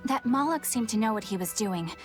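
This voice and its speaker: A woman's voice: on the high side, expressive, fairly quick, faint music behind, natural voice. A woman playing a prim, serious young woman in an English game dub, earnest, proper and drily disapproving.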